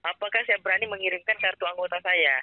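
A man making a persuasive pitch over the phone, speaking insistently with some hesitation. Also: steady tone, fast talker, loud music behind the voice. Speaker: phone caller claiming to be a prize official. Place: on a phone call. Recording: narrow, dull phone line.

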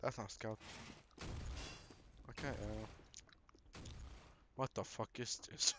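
A man's voice: lowish voice, fairly even intonation, slow, background noise. A young man casually commenting on a shooter game as he plays, rambling, swearing and laughing at himself. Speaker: gameplay commentator.

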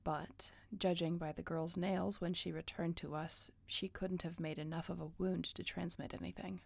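Casting: actress for cool, controlled female second-in-command, lowish voice with fry, audio roleplay. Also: level tone, normal speed, darkish tone. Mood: businesslike. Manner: controlled, curt, businesslike. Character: cool dutiful female enforcer of a werewolf alpha. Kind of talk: audio roleplay drama acting.

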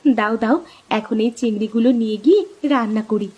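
High-voiced, expressive village wife, acted by a woman. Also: fairly quick, breathy edge. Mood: surprised, excited. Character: caring, excitable village wife and daughter-in-law. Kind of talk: cartoon voice acting.

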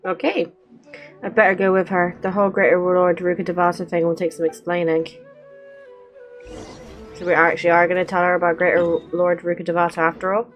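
A woman's voice: lowish voice, level tone, a little fast. A young woman doing casual let's-play commentary as a game streamer, close to a desk mic. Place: bedroom, indoors. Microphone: desk condenser mic close up. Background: faint game music.

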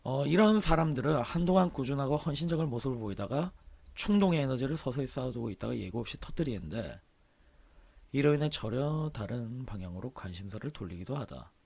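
A man with a hoarse, sore-throated voice, chatting casually on a livestream as he reads aloud and comments offhandedly. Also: mid-range voice, animated, brisk, a little mellow. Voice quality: hoarse, husky. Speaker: game streamer.

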